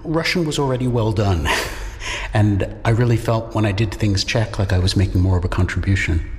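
Reflective low-pitched middle-aged man, echoey hall. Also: moderate pace. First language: English. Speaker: university linguistics lecturer. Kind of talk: thoughtful interview answers. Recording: echoey hall with long reverb.

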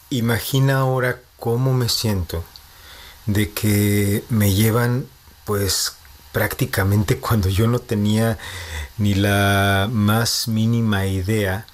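A man in his thirties with a Mexico City Spanish accent, a composer answering interview questions in a measured, reflective way, thinking aloud as he goes. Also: fairly low pitch. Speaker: composer and postdoctoral researcher.

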